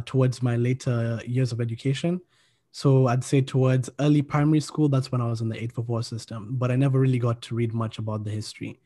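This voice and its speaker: A man from Kenya gives conversational answers as an interview guest. His voice is very mellow and relaxed, at a medium pitch. He explains himself in a measured, casual way.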